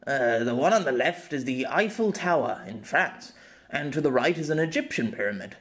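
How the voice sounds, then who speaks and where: Man acting an awkward, rambling male storyteller, lively pitch. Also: normal speed, close to an everyday voice. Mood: explanatory. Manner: hesitant, rambling. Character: awkward, good-natured foreign-born male storyteller, explaining himself.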